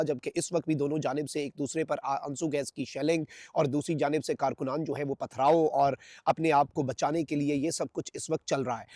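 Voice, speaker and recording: A man's voice: fairly high pitch, a little mellow, no echo, studio quality. A man with a Pakistani accent delivering news commentary to viewers as a channel host, in an emphatic, repetitive way.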